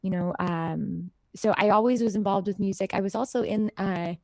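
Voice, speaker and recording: A woman's voice: mid-range voice, normal speed, a little mellow, no room sound. An American woman chatting casually and informally as an interview guest, telling personal stories in a friendly way. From United States, raised in Idaho and Utah. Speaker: interview guest, singer-songwriter. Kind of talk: casual chat, personal storytelling.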